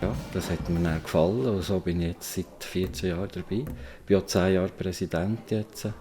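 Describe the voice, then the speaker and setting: A man's voice: low voice, fairly even intonation, normal speed, over loud music. A man with a Swiss German accent, president of a band, answering interview questions in plain, friendly words.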